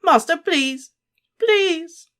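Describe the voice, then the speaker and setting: A woman voicing a cowering, sniveling male servant who whimpers and pleads in a high voice. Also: sing-song, extremely slow, breathy edge, exaggerated voice. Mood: pleading.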